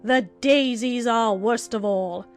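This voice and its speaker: A woman's voice: on the high side, slow pace, soft background music. A woman voicing a haughty, bossy talking flower with an imperious, emphatic drawl in a children's audiobook. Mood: bossy, indignant. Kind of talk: dramatized children's audiobook acting.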